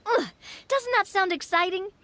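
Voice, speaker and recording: A woman's voice: very high voice, moderate pace, sharp tone, no echo. A woman playing a bold, playful young woman who relishes risk, with a breezy, persuasive, theatrical manner in an anime game. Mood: excited. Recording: music under the voice.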